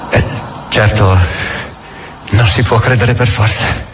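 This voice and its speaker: Un attore che interpreta un diavolo chiacchierone e disincantato, ironico e autoironico, in un monologo teatrale per la radio. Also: male voice, lowish voice, fairly even intonation, slow pace, background noise. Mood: wry, musing. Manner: ironic, musing, conversational. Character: sardonic, chatty devil, world-weary self-mocking parasite. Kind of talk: dramatic stage monologue for radio. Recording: music running under the voice. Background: music.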